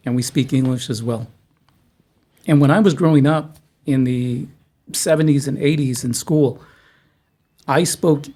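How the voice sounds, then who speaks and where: A middle-aged man from South Texas, hosting a casual conversation, halting and repeating words, with a joking manner. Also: mid-range voice, dark tone. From South Texas, USA. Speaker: host.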